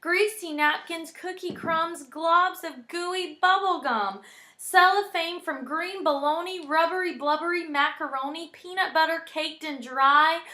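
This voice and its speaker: A woman speaking affectionately to a young child, as in a personal bedtime message with expressive readings of children's poems, animated and sing-song in the verse. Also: high-pitched, echoey room.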